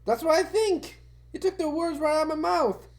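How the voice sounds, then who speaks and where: A woman's voice: high-pitched, lively intonation, normal speed. A woman playing a bookish, earnest cartoon boy who talks in a courteous, formal way. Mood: admiring.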